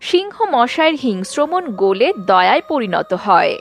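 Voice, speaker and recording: A woman's voice: a little high, big pitch swings, normal speed, gravelly. A woman narrating a scripted children's story.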